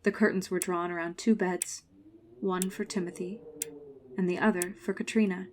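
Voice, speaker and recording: A woman's voice: lowish voice, steady tone, normal speed, a little hiss or hum, lightly acted. A woman playing a haunted adult survivor, narrating a scripted horror drama in a grave, suspenseful way. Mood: haunted reflection. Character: haunted grown woman narrating her frightening schooldays. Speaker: narrator and main character.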